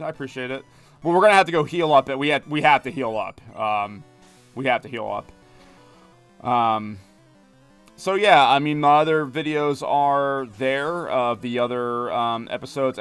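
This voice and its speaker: A talkative young man chats loosely while streaming, full of fillers and little jokes. His voice is smooth and clear at a middling pitch. Soft music sits under his voice. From United States. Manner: rambling, talkative, many fillers, reads chat aloud and jokes. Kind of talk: casual live-stream chat while gaming. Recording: music playing behind.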